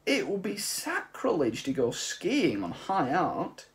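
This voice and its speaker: A man voicing an old planet builder who gets indignant, speaking high and drawn out. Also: wildly swooping pitch, slow pace, heavily acted.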